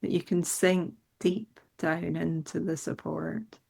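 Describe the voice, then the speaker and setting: Meditation guide, female, lowish register with marked vocal fry, soothing and unhurried delivery. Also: steady tone. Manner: soothing, unhurried phrasing with gentle instructions.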